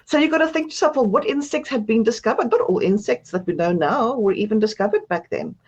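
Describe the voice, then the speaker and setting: A woman's voice: a little high, fast talker, dull audio. A woman with a South African accent, speaking as an author guest on a radio interview, chatty and self-deprecating, laughing often.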